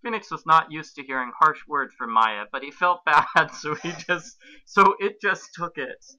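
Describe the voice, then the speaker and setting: Male podcast narrator, sharp bright tone, slightly high pitch, amused, mock-serious, amateur comic story reading. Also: normal speed, muffled recording. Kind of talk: comic amateur reading of fan fiction aloud.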